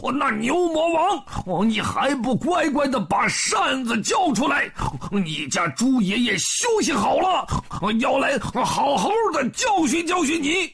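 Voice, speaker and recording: A man's voice: extremely high pitch, very animated, measured pace, vocal fry, hardly any pauses, fairly bright tone, slightly rough, voice pushed up, full character voice. A man voicing a boisterous, boastful pig-demon warrior with simple-hearted bravado for a storybook tale. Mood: taunting.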